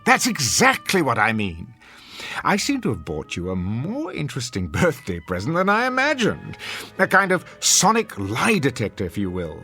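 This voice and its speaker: Male radio drama role: cruel, smug husband, bright cutting tone, hugely animated pitch, sardonic and grand. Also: moderate pace, soft background music, heavily acted. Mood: smug, lecturing. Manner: pompous, theatrical, sardonic. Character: sadistic, smug, pompous husband who bullies his wife. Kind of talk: modern radio drama acting.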